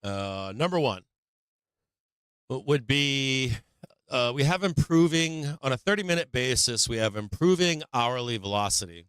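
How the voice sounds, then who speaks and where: A hoarse middle-aged man hosting a casual stock market livestream, rambling candidly and swearing now and then as his voice gives out. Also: mid-range voice, lively intonation, a little slow, a bit bright, dry recording.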